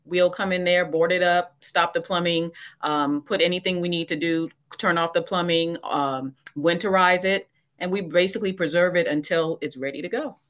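A woman's voice: lowish voice, fairly even intonation, moderate pace, a bit bright. A woman in her forties from the Bahamas, a teacher and entrepreneur, talking casually on a podcast over a video call, explaining things plainly with fillers. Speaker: guest.

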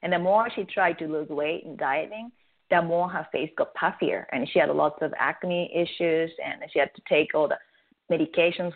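A woman from Japan with a Japanese accent, a guest explaining her method earnestly in a radio interview. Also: low voice. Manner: earnest, animated explaining with restarts. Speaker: guest, face yoga creator. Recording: tinny phone line.

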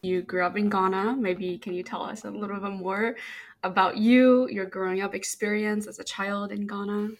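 Woman co-hosting, medium-pitched voice. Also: fairly even intonation, normal speed. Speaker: podcast co-host. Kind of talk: casual conversational interviewing.

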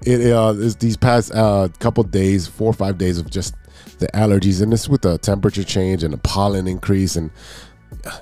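Nasal man, dark low voice. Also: fairly even intonation, moderate pace, faint music behind. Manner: rambling, self-correcting, hyped. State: nasal from allergies. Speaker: podcast host. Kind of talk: casual sports podcast chat.

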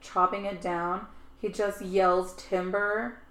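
A woman's voice: medium pitch, little pitch movement, slow pace, fairly bright tone, echoey room. A woman co-hosting a podcast, telling stories in a chatty, casual way with lots of "like". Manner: chatty storytelling, frequent "like". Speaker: podcast co-host. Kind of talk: casual chat.

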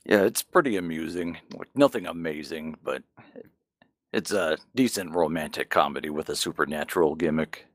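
A man's voice: very deep voice, moderate pace, no echo. A man co-hosting a podcast, chatting casually and frankly about games and manga, with some swearing. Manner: profane, frank. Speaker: podcast co-host.

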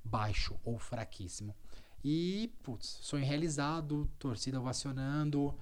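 A man, co-hosting a podcast and telling a story in a relaxed, rambling way. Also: mid-range voice, a little slow. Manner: relaxed storyteller, trailing filler words. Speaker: podcast co-host. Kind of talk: casual podcast chat.

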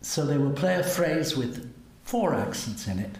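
A man's voice: a little high, animated, unhurried, darkish tone. An older man, a cellist, musing conversationally and self-deprecatingly, often ending with tag questions. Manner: musing, asks tag questions, chuckles at himself. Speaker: cellist and guest. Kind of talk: conversational interview. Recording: roomy event recording with faint hum.

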